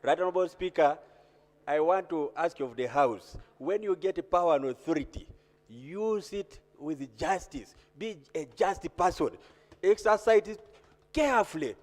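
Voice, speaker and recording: A man with a Ugandan accent speaking as a member of parliament, making a measured, exhorting formal speech. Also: on the high side, animated. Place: large parliamentary chamber. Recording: echoey hall with long reverb.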